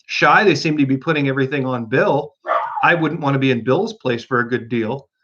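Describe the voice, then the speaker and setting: A man's voice: mid-range voice, a little fast, dull audio, noisy background, lightly acted. A man voicing an earnest young storybook girl who muses and frets as she talks to herself. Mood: wondering. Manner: musing, fretful, talking to herself.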